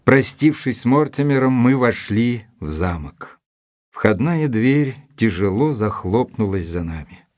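An actor playing a gentleman narrator of a mystery tale, measured and expressive, in a literary audio drama. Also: medium pitch, dry recording, lightly acted. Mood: sombre, atmospheric. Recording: dry studio with music underneath.

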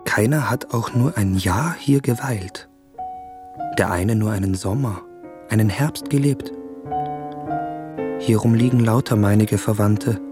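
Radio play role, male actor: earnest young visitor, lowish voice, measured and wondering, faint music underneath. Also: lightly acted. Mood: reflective. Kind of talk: literary radio play, reflective narration and dialogue.

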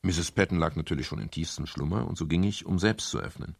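An actor playing a weary, gentlemanly middle-aged private detective in a German radio crime drama, speaking in a measured, formal and restrained way. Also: male voice, deep voice. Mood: measured, reflective. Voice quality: gravelly, husky.

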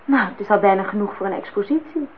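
A woman's voice: mid-range voice, fast, phone-quality sound, lightly acted. An actress playing a forthright, teasing young woman in a naturalistic radio drama, on a noisy old recording. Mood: probing, forthright. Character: cool, teasing, independent young woman visitor.

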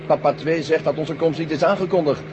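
Casting: man as dutiful helicopter pilot, higher voice, quick, deferential, radio-play style over roaring engine. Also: steady tone, highs cut off, natural voice. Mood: alarmed. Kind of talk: 1970 Dutch radio drama acting. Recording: old radio recording, loud engine noise.

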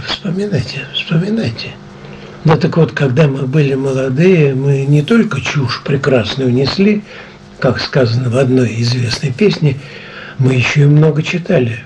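An older man presenting on radio, introducing a programme conversationally in measured, chatty short phrases. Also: fairly high pitch, a little mellow, a little hiss or hum, rough recording.

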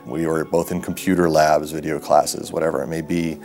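A man's voice: a bass voice, flat delivery, moderate pace, a little mellow, faint music behind. A young man with an American accent, casually recalling things as an interviewee.

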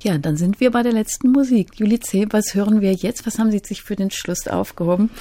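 A woman hosting a radio interview, putting prepared questions in a clear, courteous manner. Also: mid-range voice, brisk, warm tone.

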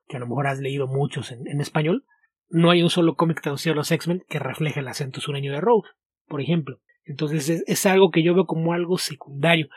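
A man, co-hosting a podcast and explaining his translation work in a steady way, restarting his words often. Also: fairly high pitch, slightly rough, dry recording. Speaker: podcast co-host, comic translator. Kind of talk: casual podcast chat.

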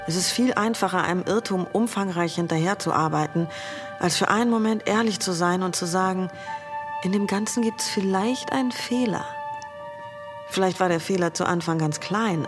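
An actress playing an earnest, disillusioned idealist in a reflective radio play monologue. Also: female voice, on the low side, moderate pace, music in the background, natural voice.

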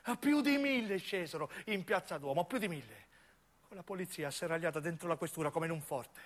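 A man's voice: fairly high pitch, lively intonation, brisk, a bit bright. A man narrating a dramatic theatrical monologue in intense, solemn, broken phrases. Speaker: narrating stage actor.

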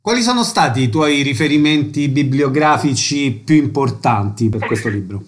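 A man's voice: medium pitch, lively intonation, moderate pace. A man hosting a radio programme, reading announcements and putting short questions to guests. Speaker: radio interviewer.

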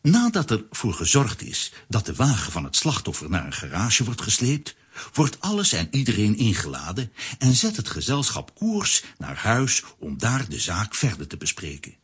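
A man narrating a scripted children's story in a rough, husky voice with animated storytelling. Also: on the low side, normal speed, heavily acted.

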